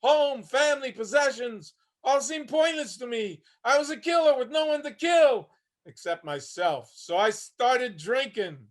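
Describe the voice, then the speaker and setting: Guy acting a bitter old homeless vietnam vet, kinda high voice, tired plain talk, haunted, play reading. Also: expressive, unhurried. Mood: haunted, weary. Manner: gritty, plainspoken, world-weary storytelling. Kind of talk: dramatic monologue in a staged play reading. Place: online video call reading.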